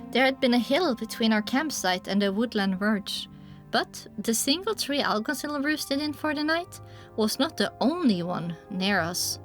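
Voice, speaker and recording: A woman reading scripted first-person story narration with a descriptive storytelling delivery. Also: fairly high pitch. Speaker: audiobook narrator.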